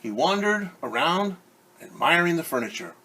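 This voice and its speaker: A man teacher reading a picture book aloud to children, animated and stopping to explain words and ask questions. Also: fairly high pitch, normal speed, breathy edge, poor audio. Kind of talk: children's story read-aloud.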